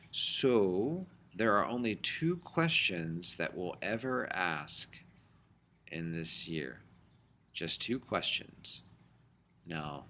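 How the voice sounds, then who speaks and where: A middle-aged man teaching a high school biology class in a recorded lecture, explaining in a conversational way with frequent fillers. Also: pushed and bright, a little hiss or hum.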